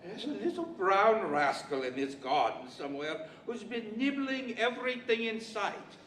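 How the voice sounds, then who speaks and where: A man in his sixties playing a gruff, stingy old farmer with a grudging soft side, in radio-play style. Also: high voice, sing-song, a little slow, full character voice. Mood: menacing, grumbling. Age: 60s. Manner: gruff, grumbling. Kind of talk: 1940s radio-style stage acting. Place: library event room, indoors, live stage. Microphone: stand microphone. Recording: roomy, distant, faint noise.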